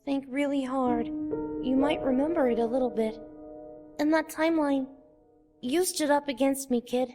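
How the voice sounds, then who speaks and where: A woman playing a haunted young child in a fan comic dub, solemn and deliberate. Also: high voice, over music, lightly acted. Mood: grim, confessing. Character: haunted young child confessing past killings.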